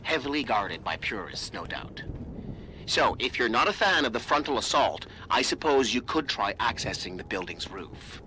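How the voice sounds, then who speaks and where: An actor as Pritchard, snippy, noisy background. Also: male voice, mid-range voice, big pitch swings, moderate pace, close to an everyday voice. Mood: dry, condescending. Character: snippy, condescending male tech support colleague over radio. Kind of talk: video game cutscene acting. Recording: clearly noisy background with vehicle ambience.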